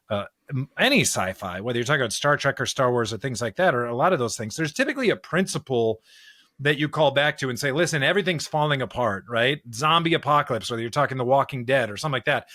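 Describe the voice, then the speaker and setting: A man with a bright, forward voice in a dry, close recording. He talks like a podcast co-host in discussion, thinking aloud and checking his points with frequent tag questions. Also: mid-range voice, no room sound. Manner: animated explainer, often checking with "right?". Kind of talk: conversational discussion of scripture and pop culture.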